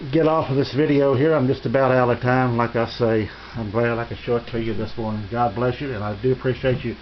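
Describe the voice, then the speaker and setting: An American man giving a casual, unscripted tour of his radio room in a halting, rambling, folksy and polite way. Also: mid-range voice, steady tone. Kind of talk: casual unscripted show-and-tell narration. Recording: dull lo-fi home video, faint noise.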